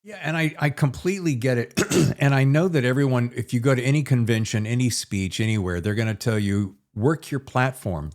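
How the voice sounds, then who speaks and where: A man's voice: medium pitch, fairly quick, a little mellow. A man hosting a podcast interview in a casual, chatty way with folksy phrasing. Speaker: podcast host interviewing an author.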